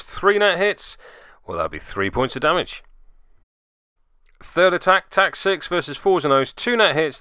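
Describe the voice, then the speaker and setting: Male tabletop game commentator, mid-pitched and smooth, dry sound, play-by-play with wry asides. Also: bright, forceful tone, dry recording.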